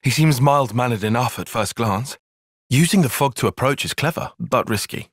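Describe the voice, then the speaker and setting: A man playing a cerebral, earnest young soldier and strategist in a video game, speaking in precise, formal, analytical language and quick to exasperation. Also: mid-range voice, slightly rough, no room sound, studio quality. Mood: measured, analytical. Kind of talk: video game cutscene voice acting.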